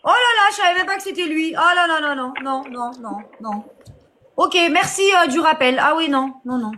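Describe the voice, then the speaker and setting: A woman with a high voice runs a live online debate. She talks haltingly, pausing on fillers as she calls people up to speak. A faint noise sits under her voice. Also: animated, bright, forceful tone. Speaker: live stream host.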